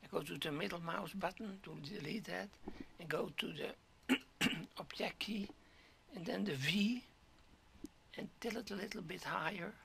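A hoarse man talking through a 3D modeling tutorial step by step, halting, often clearing his throat and dropping to a whisper. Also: high voice, a bit bright, a little hiss or hum. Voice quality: gravelly, keeps clearing throat. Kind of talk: screen-recorded software tutorial.

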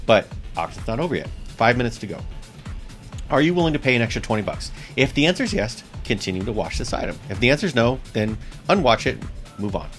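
A man's voice: mid-range voice, very animated, quick pace, fairly bright tone, faint music behind. A man in his thirties with a US East Coast accent, hosting a bowling tips vlog straight to camera and talking upbeat and chatty, repeating his key points. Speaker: bowling channel host. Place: small indoor room with shelves. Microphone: seated close to camera.

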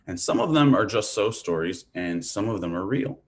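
A man's voice: on the low side, animated, normal speed. A man from Hawaii giving an academic lecture over a video call, talking loosely with fillers like "like" and "um". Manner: casual, thinking aloud with fillers. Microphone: video call webcam mic.

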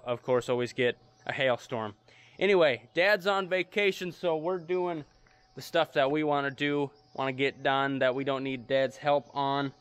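A man's voice: medium pitch, a bit bright, clear, resonant tone, dry recording. A young man, talking casually to camera as a farmer vlogging, laid-back and plain-spoken. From United States. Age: young adult. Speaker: farmer and vlog host. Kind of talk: casual vlog talk to camera. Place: outdoors on a farmyard and cattle pens.

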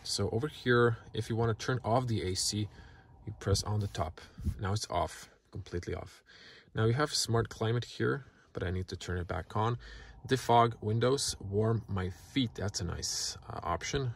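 A man whose first language is Croatian, casually walking through a car's features in a relaxed, halting way from inside a parked car. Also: on the low side, gravelly, breathy edge. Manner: relaxed, halting, many fillers. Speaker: car reviewer. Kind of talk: casual product walkthrough.

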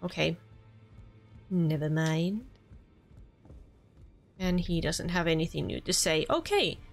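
Finnish woman in her twenties with a low voice, earnest let's play host talking over soft background music. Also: expressive, takes it slowly, gravelly. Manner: chatty and earnest, with small restarts. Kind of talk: casual gameplay commentary. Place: home room.

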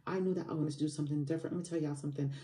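A woman's voice: low voice, steady tone, very fast, mellow, echoey room, distant mic. A woman in her early forties, a money coach from Barbados, giving an emphatic motivational talk to camera at home. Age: 40s. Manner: emphatic, repetitive motivational phrasing with fillers. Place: home room by a window.

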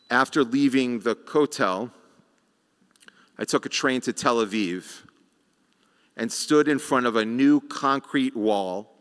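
Slow, measured rabbi in a reverberant sanctuary. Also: male voice, mid-range voice, a bit bright, clean tone. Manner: slow, measured delivery with rhetorical pauses. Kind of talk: sermon. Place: large sanctuary, at a lectern. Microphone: lectern microphone.

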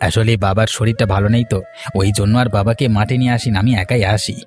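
A man's voice: fairly low pitch, steady tone, fast talker, a little mellow, soft background music, natural voice. A man playing a hesitant farmer in a cartoon story. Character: hesitant farmer friend seeking help. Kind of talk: cartoon moral-story dialogue.

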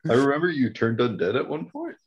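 A man's voice: medium pitch, wildly swooping pitch, fast. A man playing a tabletop game over a video call, giving short, good-humored replies. His voice swoops widely, and the call audio sounds dull and lo-fi. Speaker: player.

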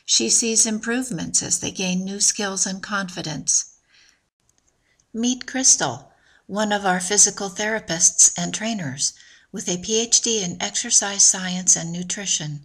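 A woman narrating a scripted promotional video in an even, measured, informative way. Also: mid-range voice.

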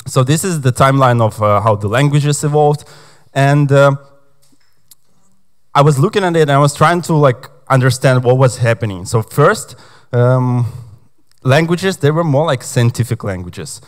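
Young guy from ukraine doing a tech talk on stage, mid pitched, lots of ums and uhs. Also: animated, moderate pace. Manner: chatty, hesitant with many fillers. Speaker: conference speaker. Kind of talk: conference talk. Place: conference hall stage.

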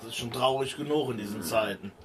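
A man's voice: medium pitch, fast talker, recorded from a distance, very noisy. A man playing a tabletop role-playing game, chatting casually in and out of character. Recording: off-mic, loud music behind. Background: loud music.

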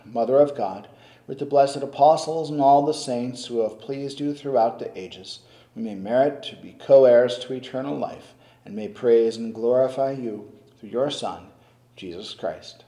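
Older male priest, measured and solemn liturgical delivery, warm tone, reverberant church sound. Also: mid-range voice. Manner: slow, solemn, measured intoning. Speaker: priest celebrating Mass. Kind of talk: liturgical prayer recitation. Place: stone-walled church sanctuary at the altar. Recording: echoey church.

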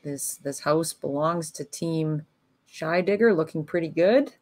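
Low-pitched lady doing curling play-by-play, calm and analytical, lots of pauses. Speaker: curling commentator. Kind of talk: live sports commentary.

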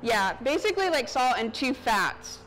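A woman's voice: sits high, very animated, moderate pace, a bit bright, low-quality recording. A woman in her thirties chatting casually as a chef, explaining things informally while busy cooking in front of people. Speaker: chef giving a cooking demonstration. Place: outdoor covered market stage. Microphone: lapel mic. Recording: outdoor ambient noise behind voice. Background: outdoor park ambience.

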